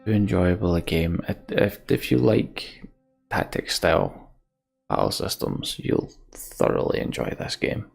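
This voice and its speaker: A low-voiced man talks slowly and haltingly, with a gravelly fry in his delivery. He sounds like a wistful let's play host, reflecting casually on a game while its soundtrack plays behind him. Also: huge pitch swings. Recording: music behind the voice. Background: game soundtrack music.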